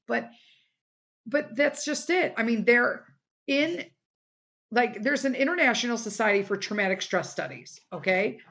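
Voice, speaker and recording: A woman's voice: mid-range voice, a bit bright, dull audio. A middle-aged woman hosting her own podcast in a casual solo chat, rambling and candid, her voice tired and wearing out after a long day of recording. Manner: rambling and candid, lots of "like" and "you know". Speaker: podcast host.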